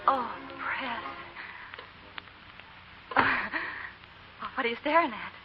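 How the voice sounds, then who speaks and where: An actress playing a willful, impassioned young belle in a 1940s radio drama, intense and theatrical. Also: female voice, fairly high pitch, expressive, very slow, soft background music. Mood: tender, pleading. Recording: dull, noisy 1940 radio recording.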